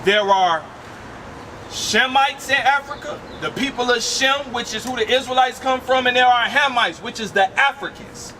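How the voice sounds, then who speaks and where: A man's voice: extremely high pitch, normal speed, bright, forceful tone. A man preaching outdoors on a city street, emphatic and commanding, asking rhetorical questions and calling on a reader. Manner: commanding, directs a reader with repeated "read". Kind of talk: street preaching with call-and-response. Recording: distant mic with street noise.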